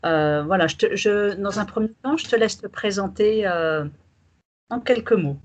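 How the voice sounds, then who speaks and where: A woman life coach interviewing a client over a video call, asking questions haltingly with many fillers. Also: mid-range voice. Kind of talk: conversational interview.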